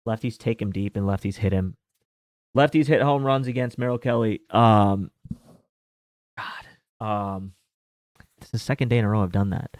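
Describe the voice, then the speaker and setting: A man's voice: on the low side, moderate pace, long pauses, darkish tone, dry recording. Rambling man, dry close mic. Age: 30s. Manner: rambling, filler-heavy, with mild swearing. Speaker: sports betting host. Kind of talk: casual chat.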